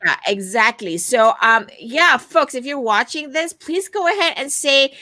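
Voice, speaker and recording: A woman's voice: high-pitched, unhurried, dry recording, studio quality. A middle-aged woman from Malaysia, talking animatedly as a guest on a video call interview and often tagging her points with "right?". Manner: animated storyteller, frequent "right?" tags. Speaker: guest, virtual event producer. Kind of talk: casual interview chat.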